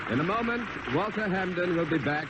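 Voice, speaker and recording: A man's voice: high voice, lively intonation, fairly quick. A man announcing an old-time radio show in a formal, polished manner. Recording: muffled, hissy vintage radio recording.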